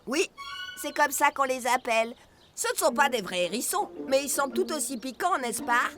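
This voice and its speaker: A woman's voice: sits high, animated, moderate pace, music in the background. A woman playing a cheerful, curious presenter who teaches young children in an educational cartoon. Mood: informative.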